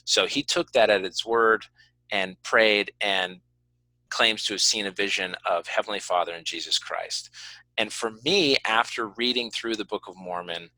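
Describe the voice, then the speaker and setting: A man with a slightly low voice and a strikingly bright, forceful edge, recorded close and dry. He talks like a podcast host in casual conversation, earnestly explaining his view with measured qualifications. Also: dry recording, low-quality recording. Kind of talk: casual conversational interview talk.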